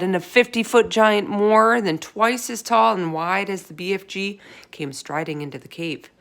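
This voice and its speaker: A woman reading a children's story aloud as an expressive narrator. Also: on the low side.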